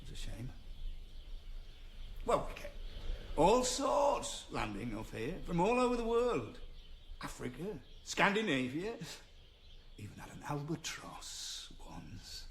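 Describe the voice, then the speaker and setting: Gruff loner birdwatcher in a radio play, kinda high voice, slow n halting, noisy outdoor background. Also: male voice, sing-song, heavily acted. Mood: wistful, absorbed. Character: gruff reclusive male birdwatcher, wary but softening. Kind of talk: naturalistic radio drama acting.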